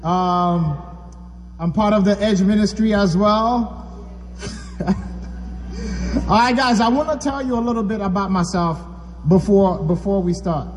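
A man's voice: sits high, steady tone, unhurried, long pauses, long reverb tail, slight background noise. A man from Haiti preaching a sermon, talking conversationally and asking the audience questions. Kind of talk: church sermon.

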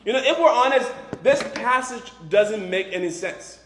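A man's voice: extremely high pitch, animated, moderate pace, fairly bright tone. A man in his twenties or thirties from Liberia, preaching informally as a campus minister in a conversational, joking way. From Liberia, moved to Boston at thirteen. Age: 20s or 30s. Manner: conversational, joking, peppered with "you know" and "right". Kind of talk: informal sermon to a live congregation. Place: large room before a congregation, some ambient noise. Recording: echoey room, faint background noise.

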